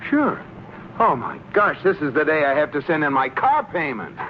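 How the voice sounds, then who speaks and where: A muffled, noisy vintage radio recording holds a forgetful sitcom husband. He blusters and stammers, flustered and placating, his pitch leaping wildly up and down. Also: sing-song, normal speed. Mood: distracted, oblivious. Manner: blustering, stammering comic delivery. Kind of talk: 1940s-50s radio sitcom acting.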